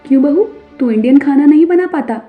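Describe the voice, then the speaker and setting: Lady doing a bossy middle aged saas in a cartoon story, high scolding voice, tinny narrow audio. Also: lively intonation, fairly quick. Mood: surprised, irritated. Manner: sharp, emphatic scolding. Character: stern, scolding middle-aged Indian mother-in-law. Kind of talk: animated Hindi moral story acting. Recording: narrow phone-like sound over music.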